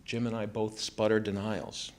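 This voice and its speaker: A man in his fifties with an American accent reads a scripted first-person story live in a theater, in a measured storytelling way. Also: fairly low pitch, flat delivery. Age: 50s. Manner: measured storytelling delivery, acting out quoted family dialogue. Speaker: writer reading his own personal essay. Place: small theater stage before a live audience. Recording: live theater recording with background noise. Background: room noise and ambience.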